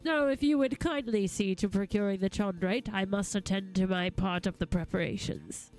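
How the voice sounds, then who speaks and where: A woman voicing a flamboyant, grandiose gentleman inventor from a fantasy game, with florid, theatrical phrasing. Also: mid-range voice, moderate pace, soft background music, natural voice, poor audio. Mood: grandly explaining. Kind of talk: live read-aloud of game dialogue.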